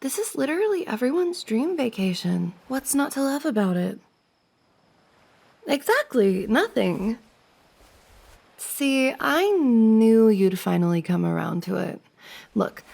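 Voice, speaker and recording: A woman's voice: fairly high pitch, sing-song, measured pace, vocal fry, a little mellow, full character voice. A woman playing a friend's giggly, teasing older sister in an intimate, conversational ASMR roleplay. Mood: playful, reassuring.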